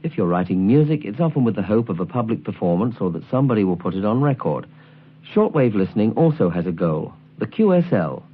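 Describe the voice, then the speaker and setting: Crisp, tinny male narrator with lively intonation. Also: lowish voice, brisk. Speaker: presenter of an instructional album. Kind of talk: scripted instructional narration. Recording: tinny, band-limited 1979 album recording.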